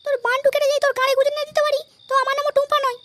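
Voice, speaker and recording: A man voicing a bossy, sharp-tongued young wife in a comedy cartoon, with a pitched-up filter, scolding and domineering. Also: flat delivery, racing, very noisy. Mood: angry, threatening. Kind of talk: amateur comedy cartoon voice acting.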